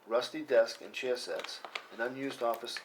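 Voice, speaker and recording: A man's voice: mid-range voice, normal speed. A man reading out the action of a film script as narration at a table read. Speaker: reader of stage directions. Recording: noisy room with clicks.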